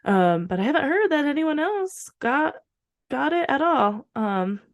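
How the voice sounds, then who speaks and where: A woman with a slightly hoarse, recovering voice, chatting casually and informally as a podcast co-host, with some swearing. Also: high voice, big pitch swings, a little slow. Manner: rambling, informal, frequent swearing. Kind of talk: casual chat.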